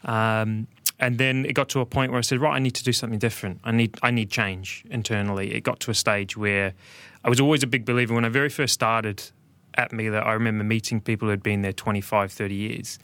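A man's voice: fairly low pitch, fast, no room sound. A man in his thirties with a New Zealand accent, a guest in a studio interview, talking easily with lots of ums. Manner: relaxed, many ums. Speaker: podcast guest. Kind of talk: casual interview.

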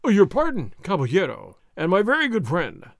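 A man voicing a loud, boastful army sergeant in a storybook adventure, blustering and theatrical. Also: high-pitched, very animated, normal speed, dry recording, clean studio sound. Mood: alarmed flattery. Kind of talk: dramatized adventure storybook reading.

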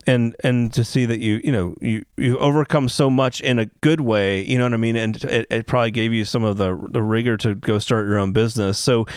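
A man's voice: mid-range voice, smooth, dry recording. Eager male podcast host, dry sound. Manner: enthusiastic, many fillers and restarts. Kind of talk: podcast interview.

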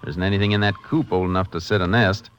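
An actor playing a shrewd, steady Texas Ranger in a 1950s radio drama, clipped, plain-spoken and probing. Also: male voice, deep voice, fast, clear, resonant tone, lightly acted. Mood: probing, stern. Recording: muffled, faintly noisy 1950s radio broadcast.

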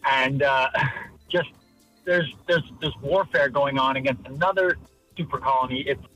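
A man's voice: medium pitch, unhurried, sharp tone, muffled recording, faint music behind. A man leaving a casual, enthusiastic voice message for a radio show as a listener.

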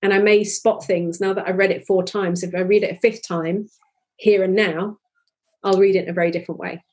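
Husky woman, 40s, candid podcast guest, earnest and animated. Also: mid-range voice, fairly quick. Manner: earnest, animated, many restarts. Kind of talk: candid conversational interview.

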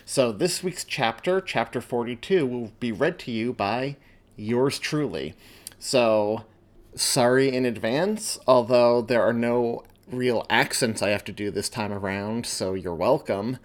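A man's voice: medium pitch, unhurried. A man hosting a podcast and reading his own story aloud, moving between casual talk and narration. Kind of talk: casual podcast intro and audiobook-style narration.

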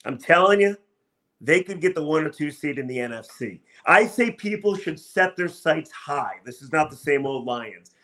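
A man's voice: on the high side, lively intonation, moderate pace, sharp tone. A man hosting a sports podcast, talking and asking questions in an enthusiastic, emphatic way. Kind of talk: upbeat sports talk and interviewing.

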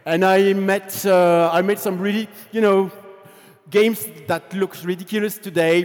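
A man with a French accent tells his story from a stage in a loose, chatty way, dotted with fillers. His voice sits high. The sound rings out with a long reverb from a large hall. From France. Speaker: game developer giving a talk. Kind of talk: conference presentation.

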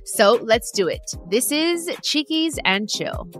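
A woman's voice: fairly high pitch, big pitch swings, slow, over music. A woman hosting a podcast, chatting with a guest in a bubbly, enthusiastic way and gushing with praise. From Mexico. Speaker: podcast host. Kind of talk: casual interview chat.

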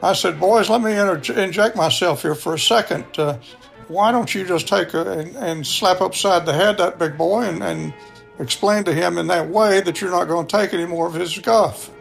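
A man's voice: high-pitched, moderate pace, creaky, faint music behind. An older man with a Texan accent telling a personal story in a folksy way, acting out the dialogue as he goes. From Texas, United States. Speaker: storyteller, radio show contributor.

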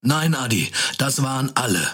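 A man's voice: medium pitch, expressive, normal speed, a bit bright, husky. A man delivering short, produced station-voice announcements. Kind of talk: produced jingle-style announcements. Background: synthesizer music.

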